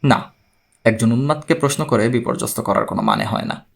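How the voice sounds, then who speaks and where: A man playing a troubled, courteous man who gravely recounts his own strange story in an audiobook. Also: medium pitch, fairly quick, pitched below the performer's own voice. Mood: pained, restrained.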